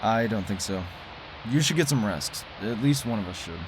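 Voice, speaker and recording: A man's voice: on the low side, lively intonation, moderate pace, a little hiss or hum, lightly acted, low-quality recording. A man playing a weary ex-conspiracy theorist. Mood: subdued. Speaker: lead character.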